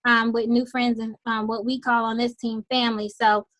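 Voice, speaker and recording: High-voiced lady pitching on a call, bit raspy from losing her voice, lots of ums. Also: little pitch movement, moderate pace, a bit bright, no echo. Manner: enthusiastic, frequent "um" fillers. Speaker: host of a team sales call. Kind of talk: upbeat sales pitch on a conference call.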